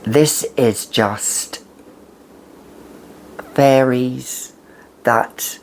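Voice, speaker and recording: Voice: medium pitch, drawn-out words, slight background noise. A perfume reviewer chatting casually and emphatically to camera, with a whimsical, conversational manner.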